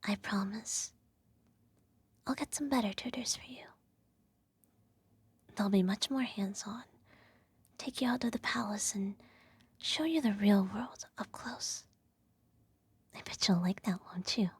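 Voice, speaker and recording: A woman playing a regal, self-righteous evil empress who soothes her child with a whispered ASMR bedtime story in a measured, gently condescending way. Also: mid-range voice, dry recording. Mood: doting, coaxing. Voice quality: soft, breathy whisper. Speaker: ASMR roleplay performer.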